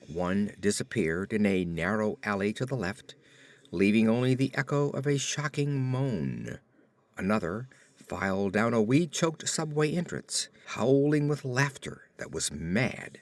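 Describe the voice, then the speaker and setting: A man reading a horror story as an audiobook narrator, with theatrical, deliberate phrasing. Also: on the low side, very animated, no echo.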